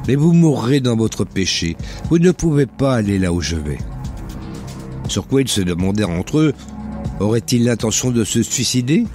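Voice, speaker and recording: A man with a cold and a slightly hoarse voice, hosting a radio quiz and reading Bible passages aloud with joking asides. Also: medium pitch, very animated, moderate pace, music in the background. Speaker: radio host. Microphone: studio microphone.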